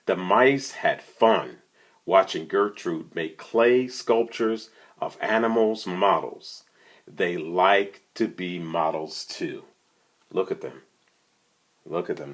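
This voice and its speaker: Deep, husky, slow-paced storytime reader, male. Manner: conversational, often asks the listener questions. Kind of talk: reading a picture book aloud, with casual asides to young listeners.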